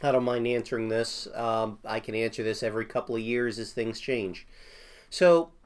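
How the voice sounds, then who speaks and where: Hoarse guy getting over a cold, just chatting through insurance stuff, keeps clearing his throat. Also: medium pitch, fairly even intonation, normal speed. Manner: frequent throat clearing, off the cuff. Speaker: insurance agent. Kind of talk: casual explainer talk.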